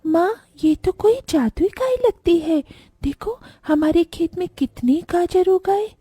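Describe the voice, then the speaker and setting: A woman's voice: extremely high pitch, expressive, a little slow, mellow, dry recording, clean studio sound, faint music behind. A woman voicing an earnest, eager little village girl with a childlike manner in a children's cartoon story. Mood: amazed.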